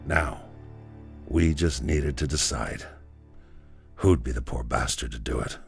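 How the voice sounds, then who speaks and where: A man playing a guilt-worn labor camp inmate, telling his story in a halting, profane, brooding way with a gravelly voice, as an audio drama monologue. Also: rumbling low, exaggerated voice. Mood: grim, brooding. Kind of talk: first-person confessional audio drama monologue. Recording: music under the voice.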